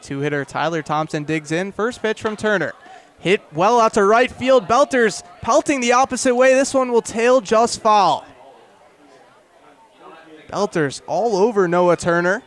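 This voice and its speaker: Young guy doing baseball radio play by play, pretty high voice, descriptive calls that build with the action. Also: a little slow. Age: young adult. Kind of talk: live radio sports commentary. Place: outdoors at a ballpark.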